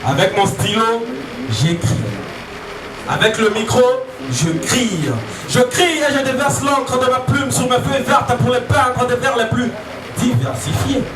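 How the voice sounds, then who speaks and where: A man's voice: extremely high pitch, unhurried, a crowd in the background. A man performing slam poetry in a declamatory, rhyming, rhythmic delivery. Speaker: slam poet. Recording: echoey live stage, distant mic, music behind. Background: music.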